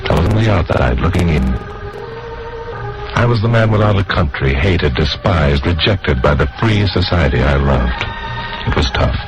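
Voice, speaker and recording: A very low-voiced American, bitter and earnest, narrating his downfall as a blacklisted man in a vintage radio drama. Loud music sits behind him on an old recording. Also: expressive, normal speed, low-quality recording. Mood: solemn, earnest. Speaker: narrator and lead actor.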